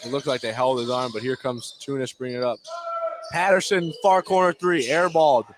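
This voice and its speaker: A man doing live play-by-play radio commentary of a high school basketball game in clipped running phrases. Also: fairly quick, noisy background.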